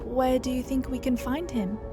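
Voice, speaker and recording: A woman's voice: sits high, steady tone, normal speed, close to an everyday voice. A woman playing a shrewd, composed elf bard in a fantasy video game, speaking in a coaxing, persuasive way. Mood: calm, probing. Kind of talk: dramatic video game dialogue. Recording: music under the voice.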